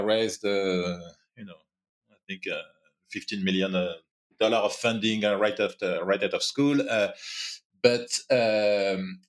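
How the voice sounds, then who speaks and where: A man from France with a French accent, a guest in a podcast interview, speaking hesitantly with many fillers. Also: on the low side. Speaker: guest entrepreneur. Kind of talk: casual interview chat.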